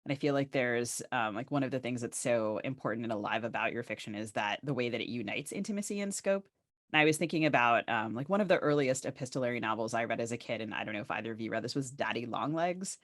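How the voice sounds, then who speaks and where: A woman's voice: very deep voice, expressive, fast. A woman co-hosting a literary podcast, conversational and thoughtful, often searching for her words. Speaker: podcast co-host.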